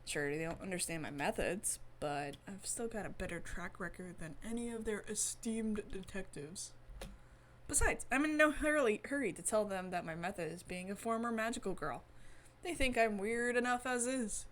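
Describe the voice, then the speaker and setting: A woman's voice: mid-range voice, lively intonation, normal speed, fairly bright tone, slight background noise, lightly acted. A woman voicing a world-weary female detective, a former magical girl, with wry, defensive muttering in a visual novel.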